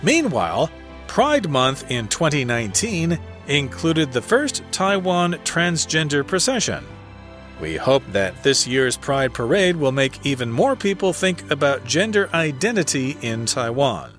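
A man's voice: a little high, very animated, measured pace, fairly bright tone. A man reading a scripted article aloud as narration for language learners. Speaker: article reader. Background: background music.

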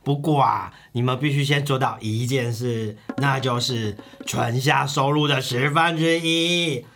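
A man voicing a calm, wise rich man of ancient Babylon who teaches in a deliberate way with drawn-out phrase endings, in an audio drama. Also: medium pitch, faint music behind. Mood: calm, instructive.

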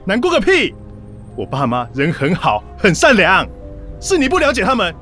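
A hot-headed young man with a Taiwanese accent snaps and taunts in a radio drama. His pitch is high and very lively, with music faintly behind him. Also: moderate pace, heavily acted. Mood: defensive, furious. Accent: Taiwanese Mandarin. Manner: defensive, aggressive, taunting. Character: short-tempered, defensive young boyfriend devoted to his parents.

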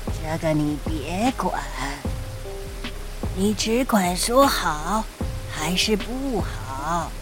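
A woman in an audio drama playing a sly, greedy elderly shopkeeper who drawls and teases with quiet menace. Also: fairly low pitch, expressive, music in the background. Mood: sly, menacing. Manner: slow, drawling, teasing, chuckling menace.

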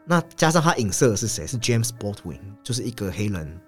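A man co-hosting a podcast, casually discussing film in an analytical way and often repeating his agreement in quick strings. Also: on the low side, very animated. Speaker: podcast co-host. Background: background music.

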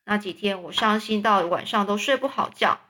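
A woman reading a storybook voices a normally stern male schoolteacher who speaks gently and fondly to his pupil. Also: mid-range voice, normal speed, natural voice. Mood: gentle.

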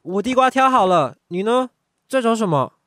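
A man's voice: high voice, lively intonation, a bit bright. A man with a Taiwanese Mandarin accent voicing an impulsive, cheerful young rich heir who laughs and gets flustered easily. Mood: playful. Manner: playful, eager, quick to laugh and fluster. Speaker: voice actor. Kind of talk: amateur radio drama acting.

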